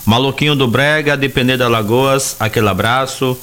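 A man's voice: medium pitch, moderate pace. A man hosting a call-in radio show, greeting listeners and offering reassuring religious encouragement. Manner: warm, reassuring, religious blessings. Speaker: radio host.

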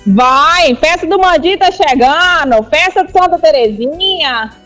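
A woman's voice: high-pitched, lively intonation, a little slow, a bit bright, faint music behind. A woman from Goiás with a Goiás accent, a store representative talking in a casual rural way as a radio guest. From Goiás, Brazil. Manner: rural colloquial speech.

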